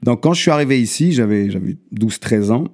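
A man in his thirties who grew up in Morocco, a guest telling his story in a relaxed way and often tagging his lines with "tu vois". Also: medium pitch, brisk. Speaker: guest rapper. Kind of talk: casual interview storytelling.

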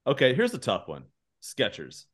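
A man's voice: medium pitch, wildly swooping pitch, normal speed, crowd noise. A man hosting a podcast in a jokey, informal, conversational way. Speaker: podcast host. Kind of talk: casual comic chat.

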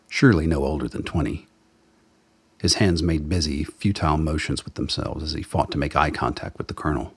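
A man reading scripted dramatic narration as the narrator of a radio play, with a husky voice. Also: very deep voice, no echo.

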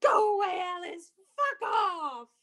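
A woman playing a lovesick, obsessive jilted young woman in a melodramatic radio play, halting and pleading. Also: very high voice, very animated, fairly bright tone, full character voice. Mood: distraught.

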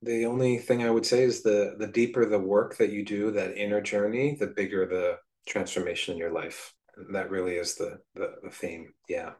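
A man talking casually as a podcast co-host, with measured, slightly hesitant phrasing. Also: on the low side, level tone, a little mellow. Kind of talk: casual conversational talk.

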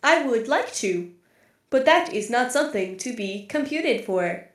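A woman playing a literal-minded female AI archive computer in an audio drama, speaking in a flat, formal, deliberate way. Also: on the high side, reverberant. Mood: impassive, matter-of-fact. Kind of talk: scripted audio drama acting.

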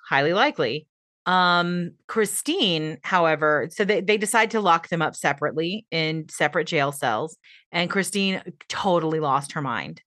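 A woman co-hosting a podcast, telling a true-crime story in relaxed casual chat and laughing at herself. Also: sits low, dry recording, studio quality. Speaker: podcast co-host.

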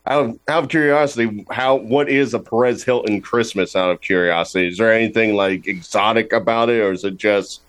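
A man's voice: on the low side, brisk, hardly any pauses, a bit bright, rough recording. A man co-hosting a radio show, introducing the guest and asking questions in a wry, joking way. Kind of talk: casual radio interview.

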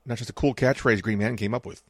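Fast-talking thirties man, dry close sound. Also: mid-range voice, fairly even intonation, no room sound. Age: 30s. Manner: swears freely, tells anecdotes with quoted dialogue. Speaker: podcast co-host. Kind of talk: casual chat.

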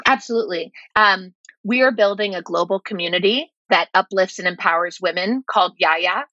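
A woman's voice: mid-range voice, moderate pace, sharp tone, no room sound, dull audio. A woman guest giving a polished, enthusiastic introduction to her project. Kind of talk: pitching a community app.

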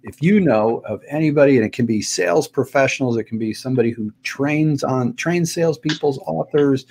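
A man's voice: mid-range voice, brisk, darkish tone, a little hiss or hum. A man hosting a live online interview, speaking in a friendly way and reading out viewer comments. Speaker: host.

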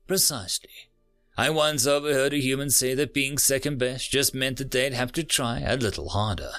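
A man voicing a calm, wry male furry alien who explains things in a measured, dry way. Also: mid-range voice, faint music behind, close to an everyday voice.

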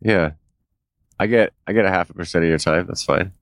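A man's voice: deep voice, fairly quick, no echo, clean studio sound. A man co-hosting a podcast, talking in a laid-back, rambling way with a stuffy, nasal voice from a cold. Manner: laid-back, rambling, swears casually, laughs often. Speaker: podcast co-host. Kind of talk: casual chat.

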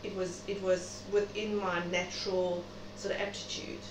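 A woman's voice: on the low side, little pitch movement, normal speed. A middle-aged South African woman speaking reflectively and chattily as a guest in an interview. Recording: noisy, echoey room, distant mic.

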